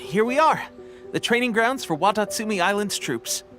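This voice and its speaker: An actor playing an eager, friendly young resistance soldier in an anime game, chatty and earnest. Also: male voice, sits high, expressive, moderate pace. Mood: upbeat. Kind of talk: anime game character acting. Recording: music behind the voice.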